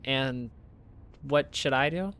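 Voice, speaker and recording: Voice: mid-range voice, takes it slowly, fairly bright tone, clear, resonant tone. An actor playing an awkward, sarcastic, suspicious teenage best friend in an audio drama, hesitant and grumbling. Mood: puzzled. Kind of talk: naturalistic audio drama acting.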